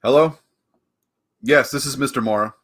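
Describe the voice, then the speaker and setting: An actor playing a combative, exasperated prosecutor in a 1940s-style radio play, indignant and emphatic. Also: male voice, medium pitch, moderate pace, a little hiss or hum, natural voice. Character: exasperated, combative male prosecutor, family man.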